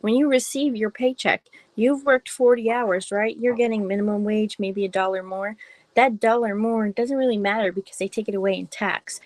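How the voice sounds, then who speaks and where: A woman's voice: medium pitch, steady tone, normal speed, dry recording, rough recording. Woman, young adult, chatting on a phone, unsure, laughs a lot, dry sound. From Massachusetts. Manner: unsure, giggly, rambling. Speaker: host's daughter, co-host. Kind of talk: casual live chat.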